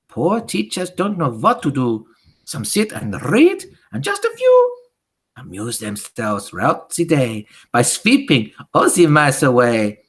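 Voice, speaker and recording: A woman voicing a gloating, disgusting old Grand High Witch in a storybook, chanting theatrically in broken grammar. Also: creaky, fairly bright tone, heavily acted. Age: older. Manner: theatrical, chanting, ungrammatical speech. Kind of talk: theatrical storybook character reading.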